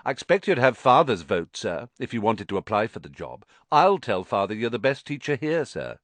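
A man's voice: on the low side, very animated, a little slow, a bit bright, dry recording. Spoiled bully boy, sneering, gloating, voiced by a man. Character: smug, sneering schoolboy bully.

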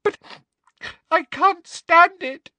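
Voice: very high voice, drawn-out words, sharp tone, dry recording, voice pushed up, full character voice. A reader voicing a desperate, pleading teenage schoolgirl in a storybook, with a gulping, tearful delivery. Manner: shrieking, gulping, sobbing.

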